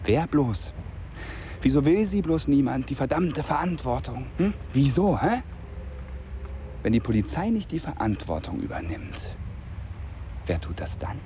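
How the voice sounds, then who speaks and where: A man's voice: medium pitch, big pitch swings, unhurried, pauses often, warm tone, a little hiss or hum. An actor playing a restless, cynical man in a radio drama, blunt and needling, muttering insistent questions. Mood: agitated, needling.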